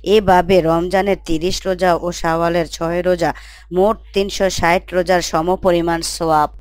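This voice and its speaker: A woman's voice: on the low side, little pitch movement, moderate pace, no echo, lightly acted. A woman playing a devout, attentive wife and mother in a scripted Islamic story, speaking in an earnest, explanatory manner.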